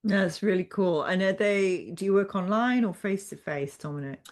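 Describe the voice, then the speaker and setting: A woman's voice: mid-range voice, moderate pace. Encouraging English woman host from Manchester. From Manchester, England. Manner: warm, encouraging, repeats phrases. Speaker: podcast host. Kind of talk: casual interview chat.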